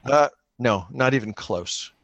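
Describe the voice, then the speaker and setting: A man improvising his way through a tabletop game in casual chat, thinking out loud as he plays. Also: medium pitch, expressive, unhurried, creaky, noisy background. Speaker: podcast player improvising a solo tabletop game.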